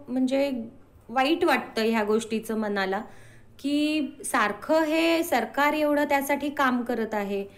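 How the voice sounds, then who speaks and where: A woman answering as an interview guest, earnestly explaining and mixing in English words. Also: high voice.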